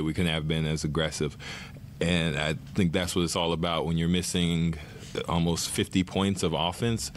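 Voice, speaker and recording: A young man in his twenties calmly answering reporters after a game at a desk mic. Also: low-pitched, a little hiss or hum. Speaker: basketball player at press conference. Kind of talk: post-game press answers. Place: arena press room.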